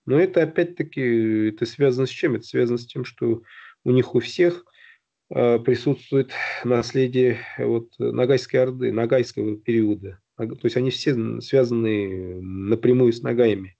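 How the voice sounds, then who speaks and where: Gravelly man on a muffled video call. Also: mid-range voice, warm tone. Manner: halting, many fillers and restarts, explanatory. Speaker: guest on a video-call round table. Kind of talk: casual discussion. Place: indoor room at home. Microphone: video call, phone or webcam close to the face. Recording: dull lo-fi video call audio.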